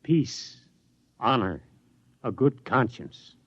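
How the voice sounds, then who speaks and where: A man's voice: medium pitch, animated, slow, a bit bright, husky, no echo, full character voice. An actor playing a disillusioned older male scientist, reflective and emphatic, in 1950s radio drama style. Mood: resigned. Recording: dull 1950s radio recording.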